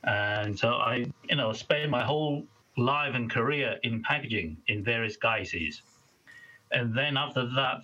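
A man's voice: on the low side, bright, forceful tone, slightly rough, muffled recording. A man in his fifties from Malaysia, guest on an interview, chatting casually and listing details of his work.

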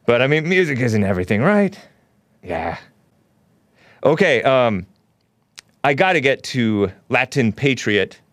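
A man's voice: medium pitch, expressive, measured pace, pauses often, fairly bright tone, dry recording, clean studio sound. A man hosting a casual call-in show, musing aloud in a laid-back way and chuckling often. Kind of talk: casual call-in radio chat.

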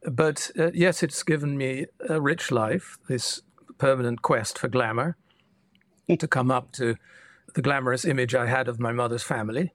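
An older man from the Netherlands, a guest historian, answering interview questions in a measured, reflective way. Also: medium pitch, fairly bright tone, no room sound, clean studio sound. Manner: measured, thoughtful, with frequent restarts.

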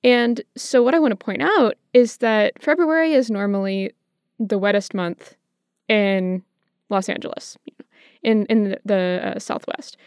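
An American woman with a smooth, clear voice and a very dry sound. She co-hosts a conversational show, laying out explanations in a measured way. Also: medium pitch, a little mellow, dry recording. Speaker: podcast co-host.

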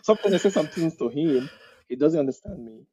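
A man with a Nigerian accent speaks as a podcast guest in a slightly raised, husky voice. He tells his stories with animation and keeps breaking into laughter partway through sentences. The recording sounds dull and lo-fi. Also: a little high, fairly quick. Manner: animated storyteller, often laughing mid-sentence. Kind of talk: casual interview conversation.

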